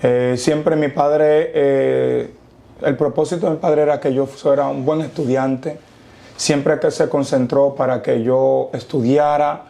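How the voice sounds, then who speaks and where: Dominican man with a gravelly, mellow voice. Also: mid-range voice, fairly even intonation. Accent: Dominican Spanish. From Dominican Republic. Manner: preacherly, quotes scripture, slow emphatic pauses. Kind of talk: testimony interview.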